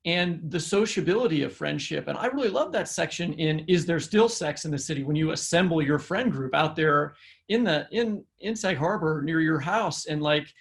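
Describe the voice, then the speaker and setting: A man co-hosting a literary podcast, chatting in a halting way and repeating words as he forms his thoughts. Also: a little high, animated, gravelly. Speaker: podcast co-host. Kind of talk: conversational literary interview.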